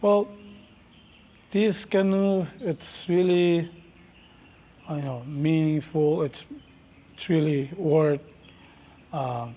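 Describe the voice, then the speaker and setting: A middle-aged man from Micronesia, whose first language is Micronesian, answering interview questions slowly and thoughtfully, with many pauses and fillers. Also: on the high side, mellow. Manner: slow, thoughtful, halting speech with many fillers. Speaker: master navigator and canoe captain.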